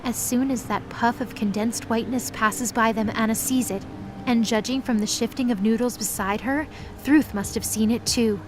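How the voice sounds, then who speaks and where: A woman's voice: on the high side, steady tone, moderate pace, noisy background. A woman narrating a scripted audio drama in the present tense as its storyteller.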